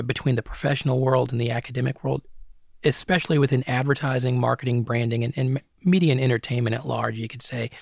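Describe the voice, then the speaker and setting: A middle-aged man answering interview questions as an advertising strategist and academic, measured and often restarting phrases, tagging points with "right?". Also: mid-range voice, creaky, no room sound, studio quality.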